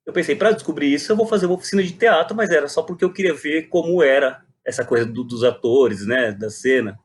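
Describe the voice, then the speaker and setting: A man's voice: on the high side, fast talker, a little hiss or hum. A man, telling his story reflectively and animatedly in a conversational interview. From Brazil. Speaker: guest, playwright and poet.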